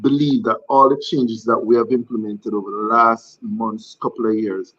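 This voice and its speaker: A man on a cricket panel, measured and earnest, stressing the same point several times. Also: on the low side, steady tone, a bit bright, dry recording, muffled recording. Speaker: cricket pundit. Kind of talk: panel discussion.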